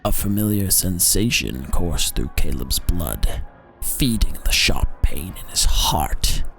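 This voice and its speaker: A man reading a dark tale as a dramatic narrator, theatrical and deliberate, leaning on words for emphasis. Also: low voice, big pitch swings, gravelly. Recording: music behind the voice. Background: background music.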